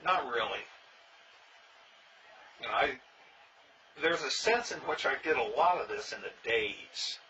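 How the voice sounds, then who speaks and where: An older man, interviewed about his early days in a reenactment society, telling stories with dry, plainspoken humor and a loud voice. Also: medium pitch, expressive, measured pace, pauses often, recorded from a distance. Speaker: interviewee, former king of a historical reenactment society. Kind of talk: casual storytelling interview. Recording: dull sound, faint noise, from off mic.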